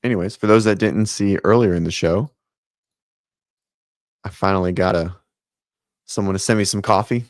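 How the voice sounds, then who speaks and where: Low raspy-voiced man chatting live. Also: moderate pace, pauses often, dry recording, studio quality. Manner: rambling, many ums and restarts. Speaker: host of a fitness livestream show. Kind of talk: casual livestream chat. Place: home office. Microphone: set-up studio mic.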